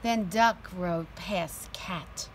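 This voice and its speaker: A woman's voice: fairly low pitch, very slow. A middle-aged woman reading a picture book aloud to children in a storytelling style, recorded outdoors on a patio. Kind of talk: slow read-aloud storytelling. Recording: some background noise, outdoor ambience.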